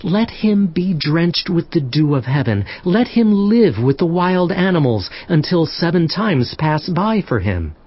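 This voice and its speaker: Husky, earnest prophet. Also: male voice, on the high side, big pitch swings, a little slow, few pauses, dry recording. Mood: earnest, grave. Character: earnest, respectful prophet interpreting a king's dream. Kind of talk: dramatized scripture audio drama. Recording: slightly muffled.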